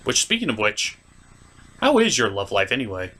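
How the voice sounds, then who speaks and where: A man's voice: lowish voice, wildly swooping pitch, normal speed, sharp tone. A man hosting a comedic review, narrating a recap in a theatrical way with playful asides. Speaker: host of a puppet show review series.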